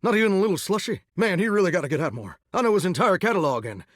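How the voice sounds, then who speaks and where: A flamboyant male shower spirit from a video game, who croons and drawls with country flair. His voice sits high and leaps across a wide, lively range. It sounds close and dry, with no room around it. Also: rapid-fire, dry recording, heavily acted. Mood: folksy, chatty. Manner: folksy, theatrical, crooning drawl. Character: vain, melodramatic male shower spirit, aspiring singer. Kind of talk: video game character dialogue.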